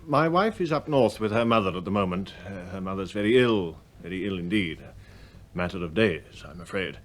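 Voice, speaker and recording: Radio drama gentleman, British, courteous and measured, formal wording, fairly low pitch. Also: lively intonation. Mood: apologetic. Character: smooth, polite husband, a well-off bank customer. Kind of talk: British radio play acting.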